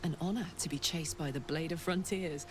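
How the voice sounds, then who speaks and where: A woman's voice: low voice, brisk, lightly acted. An actress playing a brash, hot-blooded female devil warrior in a fantasy game, blunt, colloquial and swaggering. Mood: brash, defiant. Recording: game audio with loud background noise.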